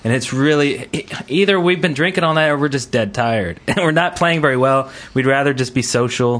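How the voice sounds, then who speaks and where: A man's voice: medium pitch, a little fast, a bit bright. Male co-host, middle-range voice, casual podcast banter. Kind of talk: casual chat.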